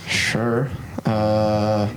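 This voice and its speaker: A man's voice: on the low side, flat delivery, extremely slow. A young man talking casually as a panelist in a podcast group discussion. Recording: roomy, echoey, clearly noisy podcast recording.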